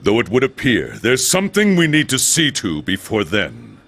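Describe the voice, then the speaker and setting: An actor playing a resolute, formal military officer with an authoritative manner in a video game cutscene. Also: male voice, lowish voice, huge pitch swings, normal speed, fairly bright tone, exaggerated voice. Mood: composed.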